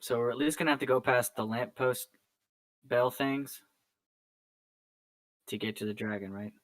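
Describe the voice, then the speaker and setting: A man's voice: mid-range voice, normal speed, a bit bright, no echo. A man taking part in a tabletop role-playing game, chatting casually about the situation.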